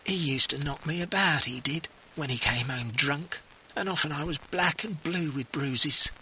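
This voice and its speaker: A man's voice: a little high, normal speed, bright, forceful tone, slight background noise, rough recording. A man voicing a vengeful dead woman who gloats with suppressed laughter over her husband's murder, in a read-aloud story. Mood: gloating.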